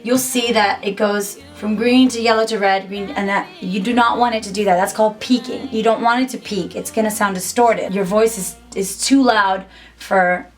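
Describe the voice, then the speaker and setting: A woman's voice: mid-range voice, steady tone, moderate pace. A young woman giving a casual, upbeat tutorial to camera from a small home music studio, chatty and full of "like". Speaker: musician and YouTuber.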